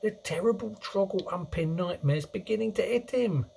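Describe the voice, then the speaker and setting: Gentle storybook giant, read by a man: raised pitch, hushed and confiding, cautious, muddled grammar. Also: normal speed, creaky, a little hiss or hum. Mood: cautious, urgent.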